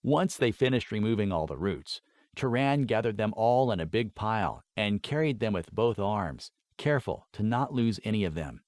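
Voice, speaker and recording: A man's voice: fairly low pitch, big pitch swings, normal speed, darkish tone, no echo, clean studio sound. A man reading scripted fantasy fiction as a dramatic audiobook narrator.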